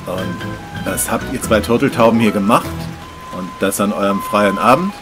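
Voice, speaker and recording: An actor playing a melodramatic, authoritative police chief in a radio play. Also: male voice, lowish voice, moderate pace, over music, close to an everyday voice. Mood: stern. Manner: authoritative, overdramatic.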